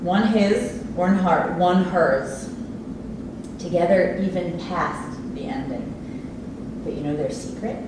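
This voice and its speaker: An actress in a staged play reading, playing a gentle, wistful immigrant housekeeper who speaks earnestly and haltingly. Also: female voice, lowish voice. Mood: fond, confiding. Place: live staged reading in an echoey theater space. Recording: distant, very echoey noisy room recording.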